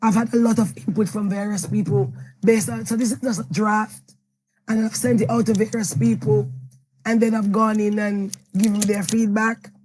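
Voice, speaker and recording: Hoarse Jamaican woman author. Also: medium pitch, level tone, moderate pace, a little mellow, faint music behind, rough recording. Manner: rambling, self-correcting storytelling. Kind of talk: interview answer at a book event.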